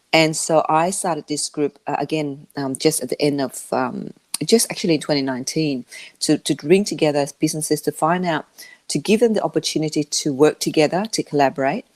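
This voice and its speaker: A woman who learned English as a second language, answering interview questions about her business in a halting way, with many restarts. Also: deep voice, darkish tone. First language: not English. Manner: halting, with frequent restarts and fillers. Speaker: interview guest, media business founder.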